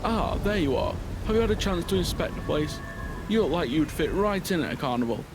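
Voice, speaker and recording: Radio drama bit part, male actor: chatty, ingratiating salesman, raised pitch, noisy storm-like backdrop. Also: lively intonation, fairly quick, lightly acted. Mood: eager selling. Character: eager, oily male property salesman at derelict carnival.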